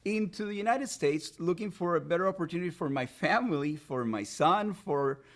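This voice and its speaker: A man with a Puerto Rican Spanish accent speaks in a fairly high voice. He talks in a thoughtful, earnest way, pausing now and then as he tells his story. Spanish is his first language, and he answers questions as a guest in a relaxed interview. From Puerto Rico. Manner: reflective, earnest storytelling with pauses. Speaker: immigration attorney guest. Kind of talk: conversational podcast interview.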